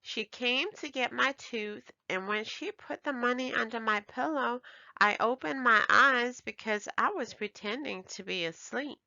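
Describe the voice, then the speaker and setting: A woman voicing an excitable, emphatic little girl who is a daughter in a children's storybook. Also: on the high side, measured pace, vocal fry, dry recording, dull audio, voice pushed up, heavily acted. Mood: eager, proud.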